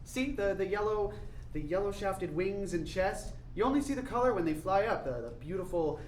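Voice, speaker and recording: A man's voice: high voice, expressive, moderate pace. Staged play: male actor as dreamy teenage son, earnest, obstinate, digressive; reverberant room with faint noise. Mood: earnest wonder. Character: dreamy, stubborn college-bound son obsessed with birds. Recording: echoey room, distant mic, faint noise.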